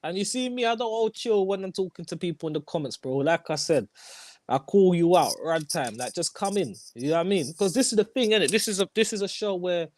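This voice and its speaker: A young man hosting a casual football chat, animated and slangy, repeating his lines. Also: high voice, fairly quick. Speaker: host.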